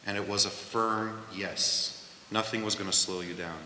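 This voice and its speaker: A man's voice: lowish voice, fairly even intonation, normal speed, pushed and bright. Guy hosting a talk on stage, far from the mic, long reverb, faint hiss. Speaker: host of the event. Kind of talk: live on-stage interview. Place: event hall with audience. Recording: echoey hall from far off.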